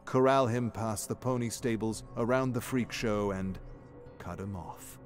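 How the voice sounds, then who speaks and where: A man giving measured, theatrical narration of a dark, dramatic scripted story. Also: fairly low pitch, faint music behind.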